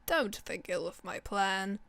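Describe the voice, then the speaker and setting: Woman acting male Halloween schemer, storybook verse, earnest theatrical delivery, slow pace, dry close sound. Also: mid-range voice, a bit bright, dry recording. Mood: grandly persuasive. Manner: deliberate, earnest, dramatic delivery.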